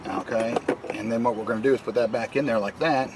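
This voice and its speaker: A man's voice: mid-range voice, fairly even intonation, fast, background noise. A man presenting a DIY video, talking casually and in a folksy way through each step as he works in or beside a vehicle. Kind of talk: casual hands-on tutorial narration while working.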